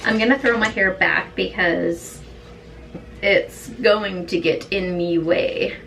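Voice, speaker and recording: A woman beauty vlogger with a hoarse, raspy voice, chatting in an easygoing, rambling way while doing her makeup at home. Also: on the low side, slow, fairly bright tone, distant mic. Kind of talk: chatty get-ready-with-me talk.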